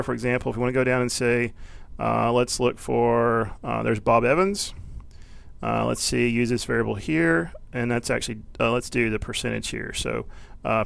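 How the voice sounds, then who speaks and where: A man with a very creaky, gravelly voice at an ordinary male pitch leads an informal software walkthrough. He explains each step casually and thinks aloud without a script. The sound is dry, with no room echo. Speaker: software tutorial instructor. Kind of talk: informal, unscripted screencast walkthrough.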